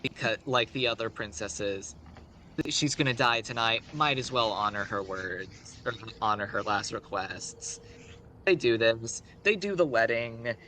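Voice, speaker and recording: Guy streamer telling a story off the cuff, bright voice, lots of asides, bit of noise behind him. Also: medium pitch, a little slow. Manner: rambling, conversational asides. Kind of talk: casual improvised storytelling.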